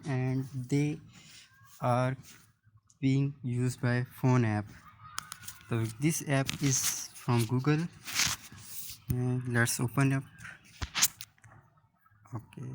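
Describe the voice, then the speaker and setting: Slow-talking man presenting a phone tutorial. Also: mid-range voice, poor audio. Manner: halting, piecemeal phrasing. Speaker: YouTube tech presenter. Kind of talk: unscripted phone app tutorial.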